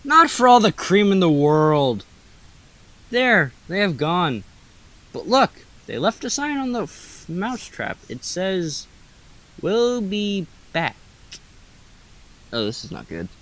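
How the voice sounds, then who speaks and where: A teenage actor playing a lazy, cowardly pet cat in a high school radio play, with a languid, theatrical delivery. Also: male voice, very animated, slow pace, long pauses, fairly bright tone, exaggerated voice. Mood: alarmed.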